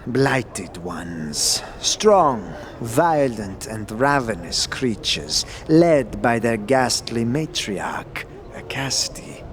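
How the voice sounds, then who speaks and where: A man's voice: medium pitch, sing-song, drawn-out words, few pauses, slight background noise. A man playing a polite, cautious guide through undead halls in a fantasy audio drama, speaking in formal warnings. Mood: grave warning.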